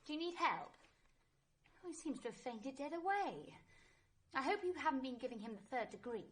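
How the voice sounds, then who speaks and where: A woman's voice: sits high, expressive, normal speed. An actress playing a self-assured, forthright young upper-class English woman in a vintage radio drama. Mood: brisk, curious. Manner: breezy, forthright. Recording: noisy vintage radio recording.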